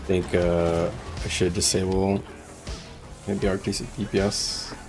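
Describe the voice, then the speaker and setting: Low-voiced man chatting over loud music. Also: flat delivery. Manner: halting, thinking aloud. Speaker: game livestreamer. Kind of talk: casual live gaming commentary, advising chat.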